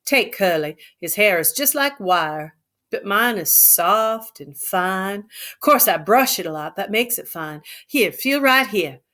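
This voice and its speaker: A woman's voice: mid-range voice, expressive, slow, sharp tone. A woman doing a vain, coy young ranch wife. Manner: coy, complacent drawl.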